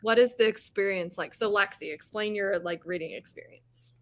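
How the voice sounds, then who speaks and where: A woman hosting a book livestream, chatting casually with friends in an animated, giggly way. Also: medium pitch, normal speed. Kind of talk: casual group chat.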